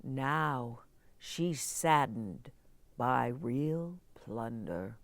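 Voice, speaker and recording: A woman's voice: extremely low pitch, darkish tone, dry recording. A woman hosting a children's story time, speaking in simple, emphatic phrases as she addresses young listeners. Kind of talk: expressive read-aloud and chat addressed to young children.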